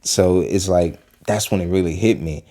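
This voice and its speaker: A man's voice: low voice, normal speed, warm tone. A man in his early twenties from Western Pennsylvania, talking loosely about urgency in life and reacting to a clip, with lots of fillers and repeated phrases. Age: 20s. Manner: rambling, repeats himself, many fillers. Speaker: video host reacting to a clip. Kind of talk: casual motivational talk.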